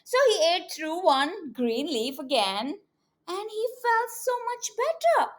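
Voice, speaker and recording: Female kids' educator for read-alouds; high voice, unhurried delivery, teacherly, engages little listeners with questions. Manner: animated, teacherly, often prompting children with questions. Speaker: children's educator and storyteller.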